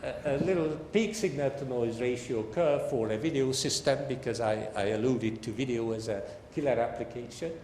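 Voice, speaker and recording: An older man giving a technical academic lecture in a halting, deliberate way. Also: medium pitch, darkish tone. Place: large lecture hall. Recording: echoey hall with long reverb, background noise.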